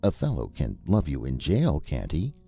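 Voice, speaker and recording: A man's voice: rumbling low, wildly swooping pitch, moderate pace, gravelly, breathy edge, full character voice. A man voicing a cheerful, cheeky young newspaperman who talks in a breezy, teasing way in a storybook reading. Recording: lo-fi with faint music.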